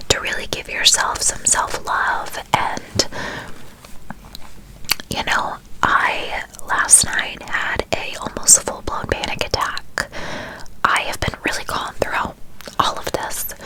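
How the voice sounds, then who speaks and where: Woman, unvoiced whisper, very slow, gentle and soothing, ASMR-style talk. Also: poor audio. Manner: soft, slow, soothing, addresses listeners as "my loves".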